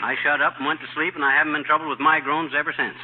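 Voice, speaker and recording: An actor playing a boastful, scheming small-town husband who wisecracks in slangy talk in a 1940s radio comedy. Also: male voice, a little high, flat delivery, rapid-fire, phone-quality sound, lightly acted. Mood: chatty, enthusiastic. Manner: brash, slangy, cheerfully bragging. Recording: thin, noisy 1940s radio broadcast.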